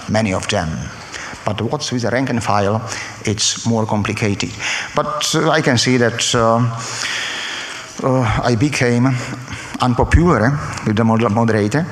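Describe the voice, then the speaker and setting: A man from Slovakia with a Slovak accent speaks on a conference panel, halting and full of filler sounds and restarts. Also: fairly low pitch. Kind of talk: academic panel talk. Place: conference hall. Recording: echoey hall recording.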